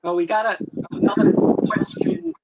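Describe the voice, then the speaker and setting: A man's voice: high voice, wildly swooping pitch, moderate pace. A man hosting an online meeting with informal remarks. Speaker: meeting host. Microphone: video call. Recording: dull call audio, faint noise.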